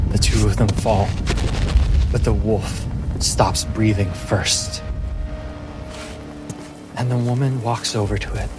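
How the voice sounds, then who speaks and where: A man narrating a fantasy tabletop game as game master, in a deliberate, dramatic way with pauses. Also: fairly low pitch. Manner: slow, deliberate, pausing phrasing. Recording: music playing behind the voice. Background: music.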